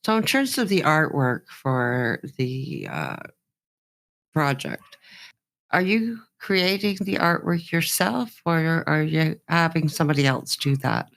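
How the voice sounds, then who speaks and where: A woman with a deep-set, low voice hosts an interview show. She speaks conversationally as she puts questions to her guests. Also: big pitch swings, slow pace, vocal fry, fairly bright tone.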